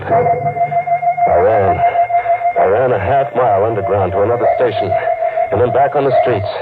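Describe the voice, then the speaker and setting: An actor playing a frantic man on the run who narrates his own story, in 1940s radio drama style over loud music on an old tinny recording. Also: deep voice. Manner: tense, breathless noir narration. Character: hunted man on the run, frantic and hard-boiled. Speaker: narrator and lead.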